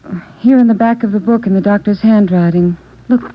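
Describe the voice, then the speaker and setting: An actress playing a polite, soft-spoken doctor's nurse in a 1940s radio drama. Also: female voice, medium pitch, fairly quick, natural voice. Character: polite young doctor's nurse at a front desk. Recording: dull lo-fi 1940s radio broadcast.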